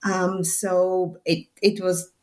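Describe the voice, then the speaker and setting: A woman in her forties from Belarus, a native Russian speaker, answers interview questions in a reflective way. She hesitates often and fills her pauses with ums. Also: on the low side, flat delivery, a little mellow. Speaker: author and social anthropology lecturer. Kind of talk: interview conversation.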